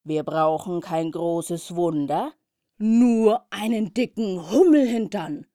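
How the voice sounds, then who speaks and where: A woman's voice: low voice, animated, moderate pace, warm tone, dry recording, studio quality. A woman voicing a plump young female bumblebee in a children's story, sighing and plain-spoken. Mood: determined. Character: plump young female bumblebee, self-conscious but brave.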